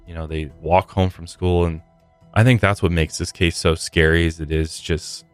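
A man's voice: sits low, fairly even intonation, normal speed, dry recording. A man with an American accent hosting a true crime podcast, moving between scripted storytelling and casual commentary in a somber manner. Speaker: podcast host. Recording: faint music behind.

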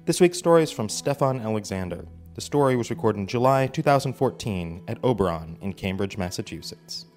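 A man hosting a podcast and reading a brisk, welcoming introduction. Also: fairly low pitch, expressive, darkish tone, soft background music. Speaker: podcast host. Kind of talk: scripted show introduction.

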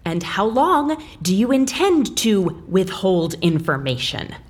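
No-nonsense lady agent in a radio play, blunt n indignant, pitch jumps about a lot. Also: big pitch swings, takes it slowly. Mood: stern. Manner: blunt and sarcastic. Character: blunt, indignant, sweary female intelligence agent. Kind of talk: audio drama acting.

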